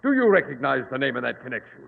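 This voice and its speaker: An actor playing a menacing, boastful pirate captain in formal, grandiloquent old-time radio drama style. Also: male voice, very animated, fast, gravelly, in a large hall, exaggerated voice. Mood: cold menace. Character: menacing, boastful modern pirate captain holding captives at gunpoint. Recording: muffled, noisy old radio recording, boomy.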